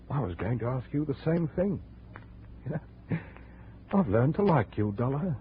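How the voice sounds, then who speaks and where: A man's voice: mid-range voice, very animated, slow pace. A man playing a hard, bitter jungle guide in a 1950s radio drama, blunt, brooding and authoritative. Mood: wry. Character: hard, bitter male jungle guide, ten years in Borneo. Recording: muffled, hissy 1950 radio recording.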